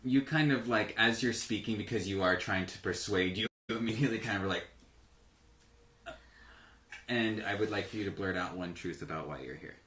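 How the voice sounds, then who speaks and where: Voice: low-pitched, normal speed, a bit bright. A game master narrating a tabletop game in a casual, conversational way. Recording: echoey room with faint background noise.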